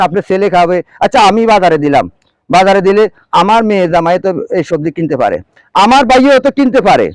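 A man's voice: high-pitched, clear, resonant tone, no echo, low-quality recording. A middle-aged man, giving an earnest, emphatic and pleading advisory talk to viewers as a farmer, repeating key phrases. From Bangladesh. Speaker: farmer and farming video presenter.

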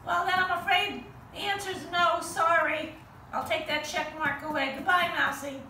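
A bossy girl puppet, voiced by a woman, with a very high, bright voice. She instructs and corrects bluntly, a bit exasperated, in a children's puppet show recorded in a roomy space. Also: fairly even intonation, moderate pace. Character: bossy, frank girl puppet in charge of auditions. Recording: roomy, distant mic, faint noise.

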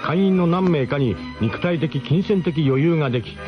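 An actor playing a brash, mock-grandiose expedition leader who narrates a comic radio drama in pompous formal phrasing. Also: male voice, a little high, expressive, moderate pace, dull audio, music in the background, close to an everyday voice. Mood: mock-serious, lecturing.

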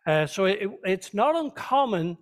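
A man's voice: high voice, big pitch swings, unhurried. A man preaching a sermon as a pastor, teaching conversationally and checking in with his listeners. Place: church, speaking to a congregation.

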